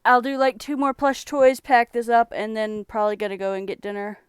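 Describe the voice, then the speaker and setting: A young woman from Texas talks in a relaxed, rambling way while showing off her thrift finds. Her voice is strikingly clear and smooth. It is recorded close up, with a dry sound. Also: a little high, fairly quick, no echo. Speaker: streamer reselling thrift finds. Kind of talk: casual live-stream chat.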